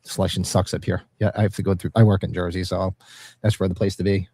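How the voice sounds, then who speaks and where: A man's voice: low voice, little pitch movement, fast, mellow. A congested man with a nasal, sniffly voice hosting a livestream podcast in casual, informal banter. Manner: informal, occasional swearing. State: congested and sniffly from allergies, slightly sore throat. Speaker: podcast host.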